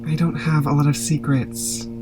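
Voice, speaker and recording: A woman's voice: low-pitched, moderate pace, music in the background, lightly acted, low-quality recording. A woman playing a cool, guarded young woman in an audio drama, terse and deflecting. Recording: faint hum and noise.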